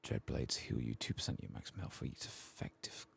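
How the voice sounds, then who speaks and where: A man speaking softly in a husky, gravelly voice, giving quiet, unhurried commentary as he streams a game playthrough. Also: extremely low pitch, a little hiss or hum. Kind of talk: soft-spoken ASMR game playthrough commentary.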